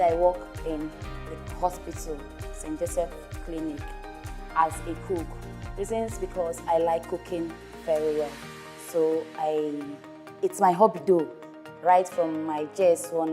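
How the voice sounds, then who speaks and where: A woman's voice: low voice, fairly even intonation, unhurried, music in the background. A woman in her twenties giving an eager, rambling self-introduction at a workshop. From Nigeria. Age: 20s. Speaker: workshop participant.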